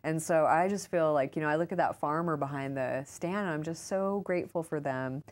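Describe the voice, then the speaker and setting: A woman with an American accent and a low-pitched voice answers as an interview guest. She talks informally and chattily, sprinkling in "like" and "you know" as she goes. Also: brisk, a little mellow. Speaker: interview guest, author and urban farmer. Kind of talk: casual conversational interview answers.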